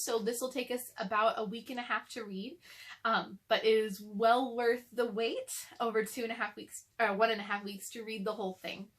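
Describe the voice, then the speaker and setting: A woman's voice: a little high, fairly bright tone. A young adult woman chatting casually to children and reading a storybook aloud to them.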